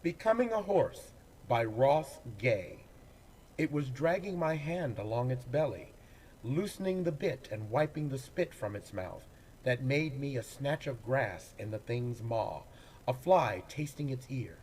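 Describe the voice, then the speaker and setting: A man, an actor, reading poems aloud in a dramatic, deliberate way with pauses between phrases. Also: medium pitch, lively intonation, a little mellow, a little hiss or hum, low-quality recording. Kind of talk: dramatic poetry recitation.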